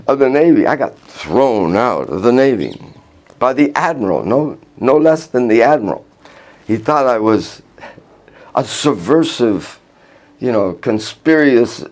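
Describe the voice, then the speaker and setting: A man's voice: mid-range voice, a little mellow. Older man telling rambling stories. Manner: halting, with restarts, chuckling. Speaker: vlogger recounting his life. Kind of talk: rambling personal storytelling. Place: outdoors in Vrindavan.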